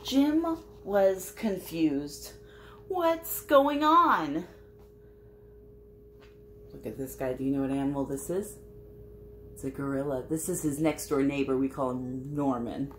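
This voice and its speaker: A woman with a slightly low voice reads to young children. She is very animated and takes her time, speaking directly to her listeners. Faint noise sits behind the home recording. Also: slow, long pauses. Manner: expressive, addressing young listeners with simple questions. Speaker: children's storybook reader and host. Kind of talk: picture-book read-aloud with chatty asides to children.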